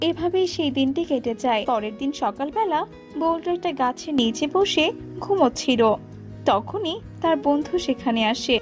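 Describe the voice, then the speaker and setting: A woman's voice: high voice, lively intonation, normal speed, soft background music. A woman narrating a scripted cartoon folk tale, on a dull lo-fi recording.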